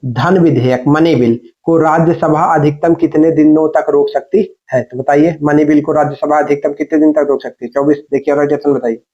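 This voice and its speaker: The sound is a bit dull and lo-fi. A man with a fairly high-set voice teaches as an exam coach. He reads out questions, prompts the learner and repeats the answers in an instructional way. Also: steady tone, fairly quick, hardly any pauses, mellow.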